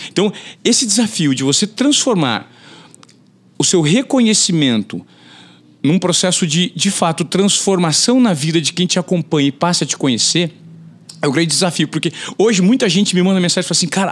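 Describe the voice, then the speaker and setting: Podcast guest: man in his forties, expressive studio talker, lively pitch. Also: fairly quick. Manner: animated, gestures a lot, acts out quotes in a raised voice. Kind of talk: conversational interview. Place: podcast studio with brick wall. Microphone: studio mic on boom arm.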